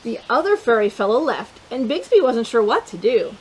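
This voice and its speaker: Woman storytime host, high voice, playful picture-book reading for kids. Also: normal speed, slightly rough, low-quality recording. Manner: animated and playful, with chatty asides to young listeners.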